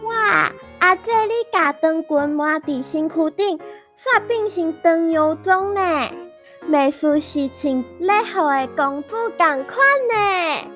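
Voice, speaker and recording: A woman's voice: very high voice, takes it slowly, fairly bright tone, soft background music, heavily acted. A woman playing a playful little girl full of wonder in a children's radio drama, childlike and exclamatory, with a Taiwanese accent. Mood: playful, teasing.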